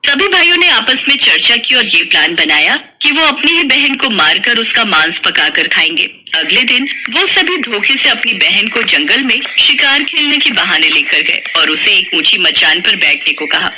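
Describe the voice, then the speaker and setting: Female folk-tale narrator, animated intonation, audibly noisy recording. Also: medium pitch, normal speed, few pauses. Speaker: storyteller.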